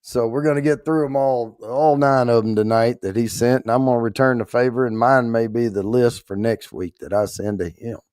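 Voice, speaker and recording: Livestream host, male, mid-pitched, hoarse and strained, rambling folksy chat, keeping his volume down. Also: steady tone, moderate pace, hardly any pauses, darkish tone, no room sound, clean studio sound. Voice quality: rough, strained voice. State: hoarse, worn out after allergy attack. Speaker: host of a whiskey giveaway livestream.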